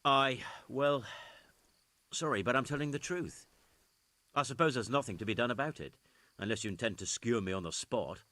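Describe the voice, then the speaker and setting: A man's voice: mid-range voice, moderate pace, pauses often, sharp tone, no echo, lightly acted. An actor playing an evasive, indignant nobleman in a video game, protesting in a sardonic, plain-spoken way. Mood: defensive. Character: evasive, indignant nobleman caught skulking at night. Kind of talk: dramatic video game acting.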